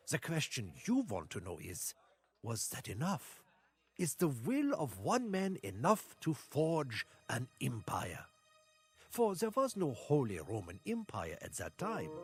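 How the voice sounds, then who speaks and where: A man playing a boozy tavern storyteller in a video game, telling a tale theatrically and savoring his pauses. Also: mid-range voice, huge pitch swings, faint music behind. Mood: dramatic. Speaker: campaign narrator. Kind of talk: in-character game narration.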